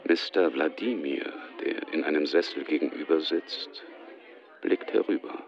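A man's voice: very deep voice, measured pace, gravelly. A man reading scripted narration for a German radio drama. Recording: dull sound, faint music underneath.

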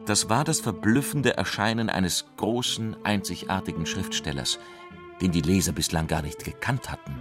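A man's voice: sits low, normal speed, music in the background, lightly acted. An actor playing a solemn writer reading his weighty recollections of a friend in a radio documentary drama. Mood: solemn, emphatic.

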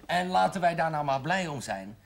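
Casting: earnest union chairman, fairly high gravelly voice, formal oratory, 1970s TV drama. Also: lively intonation, moderate pace. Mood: earnest, proud. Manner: oratorical, emphatic. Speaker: actor as meeting chairman. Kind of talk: 1970s television drama acting, meeting speech.

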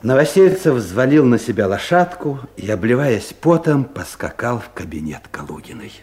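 Male narrator, vintage radio comedy; wry, animated delivery, warm dark timbre. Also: mid-range voice, moderate pace, slight background noise. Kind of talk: wry scripted comic narration for 1970s radio play.

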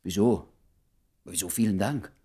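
Husky, inquisitive, flustered doctor. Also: male voice, low voice, measured pace, mellow. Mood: curious, nettled. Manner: insistent, hesitant, emphatic. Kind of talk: German radio play acting.

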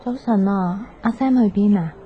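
A woman's voice: mid-range voice, moderate pace, close to an everyday voice. An actress with a Hong Kong Cantonese accent playing a mild, puzzled young girlfriend in a radio drama. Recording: dull, noisy radio drama recording.

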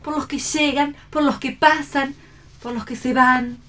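High-voiced, earnest idealistic heroine. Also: female voice, steady tone, unhurried, sharp tone. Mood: hopeful. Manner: earnest, dramatic. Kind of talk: theatrical radio play reading.

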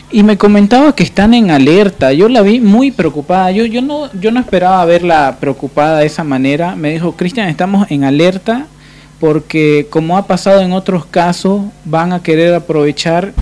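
A man's voice: high-pitched, darkish tone. A man hosting a live radio discussion, speaking from the studio. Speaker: radio host.

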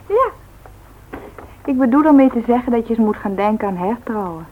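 A woman's voice: fairly high pitch, expressive, a little fast, natural voice. An actress playing a teasing, practical woman who coaxes persistently in a Dutch radio play. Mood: matter-of-fact advising. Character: teasing, practical woman, meddling matchmaker to a man. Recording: tinny, noisy old radio recording.

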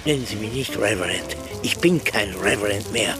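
A man's voice: on the low side, animated, moderate pace. An actor playing a frail, grieving old former clergyman, bitter and lonely, in a radio play. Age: older. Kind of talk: German radio play acting. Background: music.

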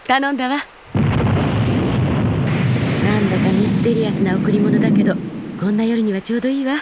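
A woman's voice: mid-range voice, brisk, very noisy, natural voice. An actress in a Japanese anime audio drama playing a grown elder sister who teases her brother and yearns for her lost parents. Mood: teasing, playful. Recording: studio drama with music behind.

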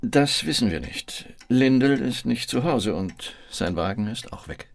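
An actor playing a controlled, official police captain who questions people in a formal, methodical way. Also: male voice, medium pitch, expressive, a little slow. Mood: businesslike. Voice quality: gravelly, creaky.

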